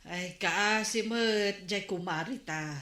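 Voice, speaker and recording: A woman's voice: medium pitch, slow pace, sharp tone, roomy sound. A woman acting a curious neighbor who gossips and judges, in a radio drama.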